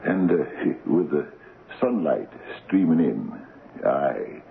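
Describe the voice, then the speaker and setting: Very deep, gravelly Scottish village doctor. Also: male voice, big pitch swings, slow, heavily acted. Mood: genial, folksy. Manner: hesitant, folksy, storytelling. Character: chatty Scottish village doctor with local lore. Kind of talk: old radio drama acting. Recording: muffled, hissy old radio broadcast.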